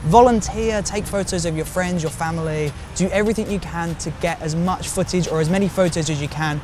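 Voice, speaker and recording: A man with a slightly croaky voice from a cold, chattily and encouragingly answering viewer questions in a casual vlog. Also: on the high side, brisk, darkish tone, a little hiss or hum. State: recovering from a cold. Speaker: video creator host answering viewer questions. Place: outdoors in public urban spots.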